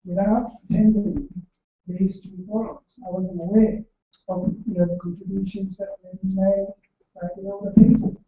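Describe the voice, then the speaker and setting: A man's voice: sits high, little pitch movement. Man from the audience, hesitant and rambling, phone-thin sound, heavy room echo, far from the mic. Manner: halting, many ums and you-knows. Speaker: audience member. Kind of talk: hesitant spontaneous comment. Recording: tinny, very echoey and far off.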